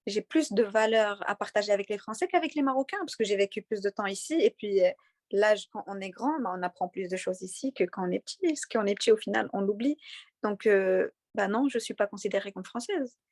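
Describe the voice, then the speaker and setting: A young woman from Morocco with a light Moroccan accent, answering interview questions in an earnest, halting way with many fillers and restarts. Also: mid-range voice, a little mellow, dry recording. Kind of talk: conversational interview.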